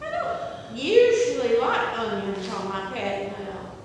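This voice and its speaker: A woman's voice: fairly high pitch, huge pitch swings, a little slow. A woman acting as a chatty, scatterbrained, suspicious older wife in a stage comedy, theatrical and rambling. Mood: fussy. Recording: very echoey theatre hall, distant, faint noise.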